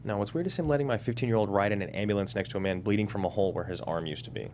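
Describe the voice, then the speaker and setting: An actor reading an easygoing, affectionate boyfriend in a script table read. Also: male voice, on the low side, very fast, a little mellow, slight background noise, close to an everyday voice.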